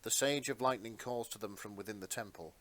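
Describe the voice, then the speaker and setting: A man's voice: fairly low pitch, fairly quick, a bit bright. A man narrating a piece of fan fiction as a dramatic story reading.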